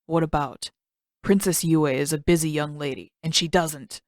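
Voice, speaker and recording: A stern, officious male palace guard, acted by a woman narrator, speaks in a lowered voice. He is curt and suspicious, a storybook gatekeeper recorded very dry. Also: steady tone, normal speed, dry recording, lightly acted. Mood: suspicious, dismissive. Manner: curt, questioning.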